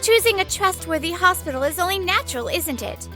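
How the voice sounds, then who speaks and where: A woman plays a sweet, poised young doctor in a manga dub. Her voice is high, composed and polite, with firm principles under it. Music is clearly heard behind her. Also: lively intonation, a little fast, sharp tone, full character voice. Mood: reproachful. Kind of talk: animated romantic-comedy dub acting.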